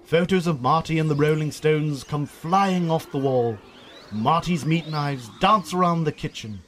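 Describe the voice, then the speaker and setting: A Northern Irish man narrates a scripted radio drama. His voice sits a little high for a man. He tells the story as the narrator between characters' lines. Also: unhurried, fairly bright tone, slight background noise.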